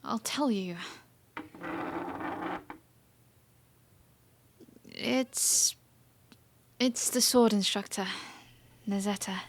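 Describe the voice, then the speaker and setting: A woman's voice: mid-range voice, takes it slowly, a little hiss or hum. A woman playing a proud, sulky young princess in a fantasy audio drama, with a formal and petulant way of talking. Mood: reluctant, hesitant.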